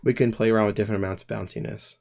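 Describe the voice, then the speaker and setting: A man in his twenties talks through his code on a live stream, working out the logic aloud. His voice sits a little low. He pauses and restarts often as he thinks. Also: steady tone, creaky, darkish tone. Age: 20s. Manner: hesitant, many pauses and restarts. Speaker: game developer streamer.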